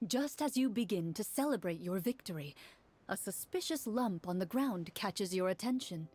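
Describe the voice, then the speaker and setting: A woman playing a composed game master, narrating a dark fantasy adventure in a video game with measured drama. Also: mid-range voice, lively intonation, slight background noise, close to an everyday voice. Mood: suspenseful.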